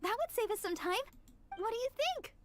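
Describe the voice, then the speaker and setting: A woman's voice: very high-pitched, very animated, normal speed. A woman playing a sweet, eager, polite young female church idol in an anime video game, speaking courteously and earnestly. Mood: earnest, helpful. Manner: courteous, earnest, gently bubbly delivery. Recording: game music behind the voice. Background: game music.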